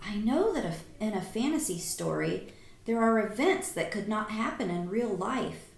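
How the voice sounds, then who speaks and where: A woman reading a picture book aloud to children like a teacher, expressive and deliberate, pausing to explain. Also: mid-range voice, gravelly. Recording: roomy echo.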